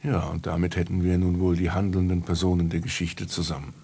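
An actor playing a wry, musing writer who narrates his story in a children's radio play, in a gravelly, husky voice. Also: sits low, flat delivery, fairly quick, warm tone, full character voice. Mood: storytelling.

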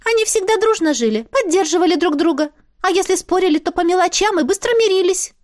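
A woman's voice: very high-pitched, expressive, fast talker, pitched above the performer's own voice. A woman voicing a small, bossy female spirit who talks in a businesslike, indignant way. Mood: earnest. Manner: businesslike, indignant, hissing when alarmed.

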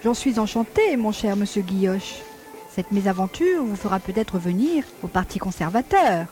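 A woman's voice: mid-range voice, expressive, moderate pace, warm tone, soft background music, natural voice. An actress playing a haughty, conservative society lady in an audio drama, refined and disdainful. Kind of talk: French audio drama acting.

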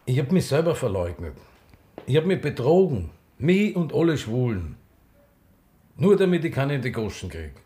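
Defiant older Austrian man, animated. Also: medium pitch, moderate pace, breathy edge. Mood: bitter, emphatic. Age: 70s. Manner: frank, theatrical. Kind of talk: radio drama, intimate storytelling.

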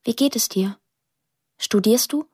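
A young woman tells her story introspectively in a radio play. Her voice is a little husky and very close and dry. She speaks plainly and thoughtfully, in a measured way. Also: on the high side, darkish tone, no room sound. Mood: reflective, confiding. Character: pensive young woman narrating her estranged family. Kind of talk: introspective radio drama narration.

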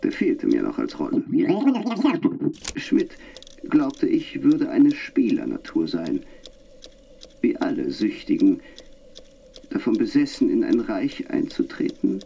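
A man's voice: lowish voice, very animated, takes it slowly, creaky, long pauses, mellow, slight background noise, heavily acted. An actor playing a vain, urbane stage star and manor host in a radio play, theatrical and savoring his words. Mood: wry, reflective. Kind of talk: radio play acting and reflective monologue.

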